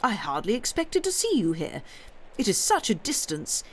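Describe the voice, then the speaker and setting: A woman's voice: medium pitch, wildly swooping pitch, moderate pace, slightly rough, voice pushed up. A woman voicing a grim but ingratiating, catty bishop's wife in an audiobook, with effusive, polite small talk. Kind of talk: audiobook character voice.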